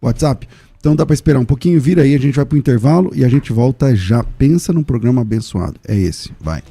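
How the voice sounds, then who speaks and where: Gravelly, dark-voiced male radio host. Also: medium pitch, lively intonation, fast talker. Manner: engaged, reacts often with short interjections. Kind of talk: radio talk show interview.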